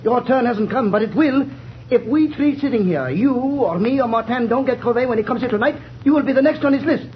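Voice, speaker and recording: A man's voice: very high voice, brisk. A man playing a frightened, irritable and bitter banker in an old-time radio drama. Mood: agitated, bitter. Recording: muffled old radio recording, faint noise.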